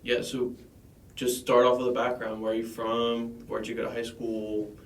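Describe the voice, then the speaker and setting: A man's voice: medium pitch, flat delivery, normal speed. A man co-hosting a podcast, eagerly firing off follow-up questions in a casual chat. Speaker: podcast co-host. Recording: echoey room, far from mic.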